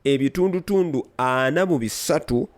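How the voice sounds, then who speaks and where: A man interpreting a sermon, talking rapidly and restarting words as he keeps up. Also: medium pitch, no echo.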